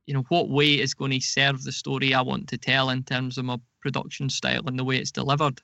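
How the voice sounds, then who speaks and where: A man giving casual, explanatory interview answers as a podcast guest, speaking in a measured way with some hesitation. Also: medium pitch, monotone, gravelly, bright, forceful tone, no room sound. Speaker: podcast guest, audio drama producer.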